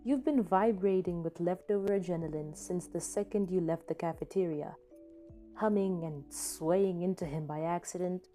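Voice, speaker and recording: A woman's voice: fairly low pitch, moderate pace. A woman narrating a scripted second-person story as the reader of a podfic. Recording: soft music behind the voice. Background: soft background music.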